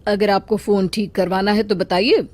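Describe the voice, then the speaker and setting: A woman's voice: medium pitch, steady tone, normal speed, darkish tone, lightly acted. A woman voicing a greedy, dishonest male village repairman in a storybook tale, brusque and dismissive. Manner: dismissive, hard-nosed, wheedling. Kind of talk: children's storybook acting.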